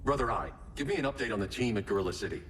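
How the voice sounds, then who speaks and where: Deep, terse, grim vigilante. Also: male voice, lively intonation, very fast, a bit bright, slight background noise. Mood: stern. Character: grim, commanding male vigilante, terse and stubborn. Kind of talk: video game cutscene acting.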